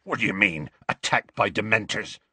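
A huge, grumpy bearded half-giant from a storybook. He speaks gruffly in rustic, muttering phrases, with a husky edge and big swings in pitch, recorded very dry. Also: big pitch swings, normal speed, a bit bright, no echo, heavily acted. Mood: indignant.

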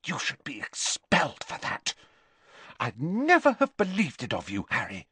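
A man voicing an earnest, indignant teenage schoolgirl in an audiobook, with a sharp, accusing, emphatic manner. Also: high-pitched, expressive, measured pace, dry recording, pitched above the performer's own voice. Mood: hissed indignation.

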